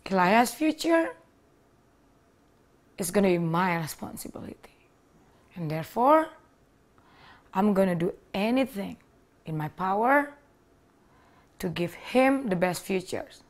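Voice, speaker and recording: A woman's voice: mid-range voice, animated, unhurried, long pauses. A woman in her thirties from Indonesia with an Indonesian accent, earnestly telling her personal story with small grammar slips, seated in a living room on a lapel mic. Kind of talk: personal testimony to camera. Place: living room with leather sofa, indoors.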